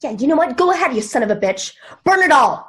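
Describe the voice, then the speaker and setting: A woman's voice: high voice, big pitch swings, quick pace. A woman reading the part of a guarded, hot-tempered woman in a TV drama table read, blunt and casual. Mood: furious.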